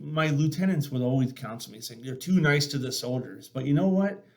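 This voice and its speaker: A man talking casually and earnestly as a show host, telling personal stories in an informal, emphatic way. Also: medium pitch. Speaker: podcast host and former army sergeant. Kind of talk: casual conversational storytelling.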